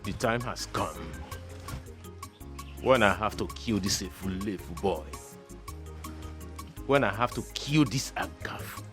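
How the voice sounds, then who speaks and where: An actor playing a menacing man who vows to kill a boy, speaking in a slow, grim, threatening way. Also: on the low side. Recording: music playing underneath.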